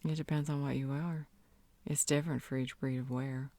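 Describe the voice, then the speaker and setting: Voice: mid-range voice, little pitch movement, a little fast, warm tone, no room sound, natural voice. A performer playing a knowing, blunt healer in a scripted fantasy audio roleplay, coy and withholding in manner. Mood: cryptic, dismissive. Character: knowing, cryptic, blunt vampire-world healer.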